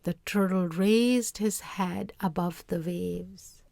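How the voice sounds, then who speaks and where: A woman narrating a storybook in a measured, dramatic read-aloud style. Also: on the low side, gravelly, darkish tone, dry recording, clean studio sound. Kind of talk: expressive scripted storybook narration.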